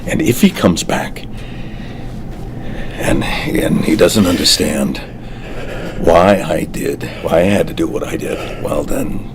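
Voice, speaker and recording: An actor playing a grave, secretive middle-aged husband in a table read of a TV drama, halting and insistent. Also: male voice, deep voice, noisy background. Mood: grave insistence.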